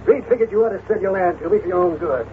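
An actor playing a cunning, domineering cattle baron villain in an old radio western, with a smooth, assertive, calculating manner. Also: male voice, sits high, fast, natural voice. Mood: insistent, self-assured. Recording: tinny, noisy old radio recording.

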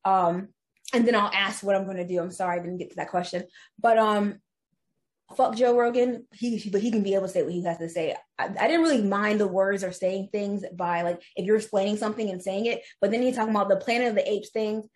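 Blunt, profane young woman guest. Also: medium pitch. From United States. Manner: blunt, profane, fast-changing opinions. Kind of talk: casual podcast interview.

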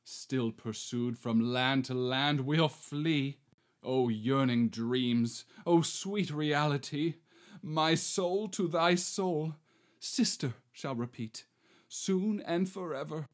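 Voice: mid-range voice, takes it slowly, lightly acted. A performer playing a swaggering male bandit who poses as a romantic marquis, delivering verse theatrically. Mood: mock-tender. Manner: bombastic, theatrical verse delivery with wild swings. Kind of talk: amateur reading of a verse play.

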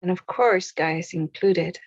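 A woman leading a guided meditation, speaking softly with long pauses between short phrases. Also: lowish voice, slightly rough, no room sound, highs cut off. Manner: slow, soft, with long pauses.

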